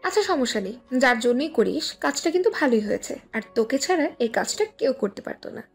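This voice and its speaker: Actress as pouty kid sister, extremely high voice, animated swoops, romantic audio drama. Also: female voice, a little fast, breathy edge, faint music behind, full character voice. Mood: conceding. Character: pouty, lively younger sister and girl friend. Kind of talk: romantic audio story acting.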